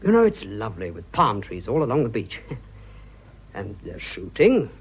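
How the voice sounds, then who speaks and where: Earnest, husky young British planter. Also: male voice, lowish voice, huge pitch swings, moderate pace, highs cut off, heavily acted. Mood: breezy, cheerful. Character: earnest, boyish young English colonial planter in love. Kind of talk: radio drama acting.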